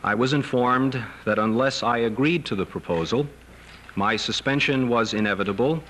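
A middle-aged American man giving a formal, measured press statement. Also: medium pitch, fairly bright tone. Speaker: clergyman, seminary head speaking to press. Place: wood-paneled press conference room. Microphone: table microphones. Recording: noisy 1970s newsreel film sound.

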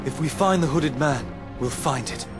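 A concerned, earnest young adventurer in a video game, acted by a man. His voice is a little high and sincere. Music plays clearly behind him. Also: moderate pace, close to an everyday voice.

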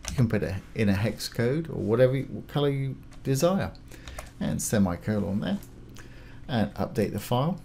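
Guy with a relaxed dark voice walking thru software steps, some background noise and typing. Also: mid-range voice, moderate pace, vocal fry. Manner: informal, spells out terms, talks through each action. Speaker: tutorial host. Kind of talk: casual step-by-step software tutorial.

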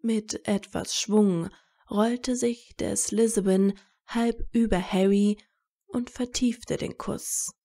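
A woman's voice: medium pitch, steady tone, takes it slowly, warm tone. A woman narrating a scripted story as an audiobook reader.

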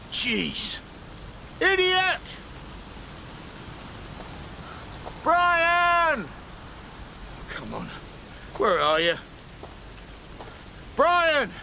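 An actor playing a gruff, troubled middle-aged British ex-policeman and landlord in a radio soap, with colloquial speech. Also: very high voice, bright, forceful tone, slight background noise, heavily acted. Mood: exasperated, calling out. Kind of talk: naturalistic radio soap acting.

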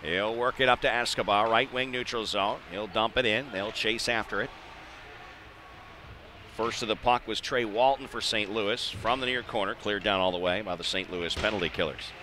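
American male hockey announcer over clear background noise. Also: fairly low pitch, moderate pace, pushed and bright. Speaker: ice hockey play-by-play commentator. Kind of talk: live sports commentary. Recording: live arena broadcast with clear background noise.

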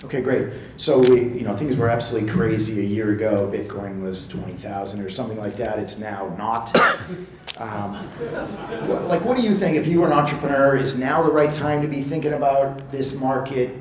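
A man's voice: medium pitch, a little fast, slight background noise. A middle-aged man interviewing on stage, asking casual questions with fillers, on a handheld mic in a large hall. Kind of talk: fireside chat interview. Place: large hall with seated audience. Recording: roomy hall sound.

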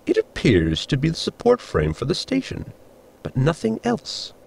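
A man acting as a dutiful robot servant from a sci-fi comedy audio drama. The voice is heavily gravelly and a little husky, fairly high, with deadpan, precise formality that still swings widely in pitch. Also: normal speed, exaggerated voice. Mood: precise, deferential. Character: dry, dutiful male ship robot servant.

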